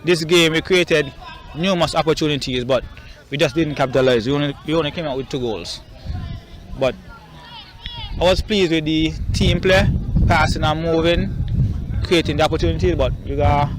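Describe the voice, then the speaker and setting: A man's voice: on the high side, moderate pace, pauses often, poor audio. A man with a Kittitian accent, a football coach speaking informally in an interview. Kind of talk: post-match interview, informal.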